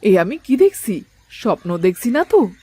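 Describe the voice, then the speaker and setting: A woman's voice: medium pitch, sing-song, moderate pace, mellow, breathy edge, no echo. A woman playing an affectionate older mother-in-law in a cartoon family drama. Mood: surprised, excited.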